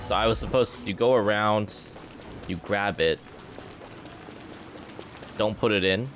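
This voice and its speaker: A man's voice: fairly low pitch, unhurried, fairly bright tone. A man doing casual live gameplay commentary as a streamer, informally thinking aloud. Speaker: game streamer. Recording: game music behind voice.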